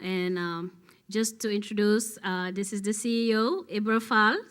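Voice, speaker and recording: A woman's voice: medium pitch, a bit bright, smooth. A young woman from Senegal, an organization's director, making formal introductions at a public event with frequent fillers and restarts. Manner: halting, with many fillers and restarts. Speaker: executive director of a nonprofit.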